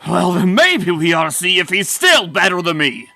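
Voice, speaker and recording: A man's voice: sits high, big pitch swings, moderate pace, gravelly, sharp tone, no room sound, soft background music, full character voice. A man voicing a proud, bitter male pony racer with a folksy, theatrical manner in a comic dub. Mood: bitter, angry. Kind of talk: comic dub character acting.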